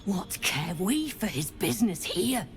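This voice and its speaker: A woman's voice: mid-range voice, sing-song, a little slow. A woman voicing a zealous giant spider in a video game, preaching solemnly and fervently.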